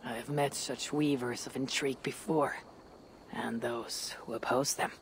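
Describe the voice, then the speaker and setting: An actress playing a confident young female Viking warrior in a game, husky, stoic and deliberate in her speech. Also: a bass voice, steady tone, slight background noise. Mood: guarded, resolute. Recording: faint game ambience behind.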